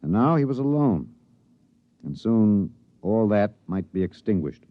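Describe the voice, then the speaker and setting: An actor playing an earnest, somber news reporter, narrating solemnly in a 1950s radio drama. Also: male voice, fairly low pitch, very animated, measured pace, dry recording. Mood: somber, wistful. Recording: dull 1950s radio recording.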